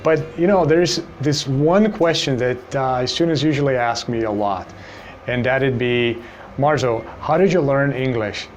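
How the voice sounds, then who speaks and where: A man in his forties from Brazil with a Brazilian Portuguese accent, an English teacher telling his own story to camera in a relaxed way, with many "you know"s. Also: medium pitch, a little slow, slight background noise. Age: 40s.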